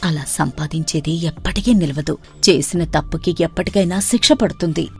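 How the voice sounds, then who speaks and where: A woman's voice: deep voice, brisk, vocal fry, slightly rough, soft background music, heavily acted. Woman acting a firm, moralizing mother. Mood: admonishing. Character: honest, principled mother gently admonishing her son.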